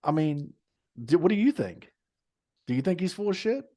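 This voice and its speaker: A man's voice: high-pitched, sing-song, moderate pace, warm tone. A man co-hosting a podcast in casual chat, ranting with profanity and disbelief. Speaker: podcast co-host.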